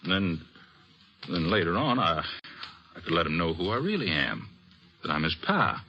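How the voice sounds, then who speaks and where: Lowish bright-voiced American gambler, blustery, regretful, halting, animated pitch, old radio western, muffled. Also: male voice. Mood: indignant, resolved. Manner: emphatic, halting, folksy.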